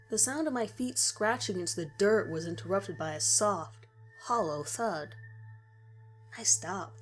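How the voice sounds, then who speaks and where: A woman reading a scripted first-person horror story as its narrator. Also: fairly low pitch.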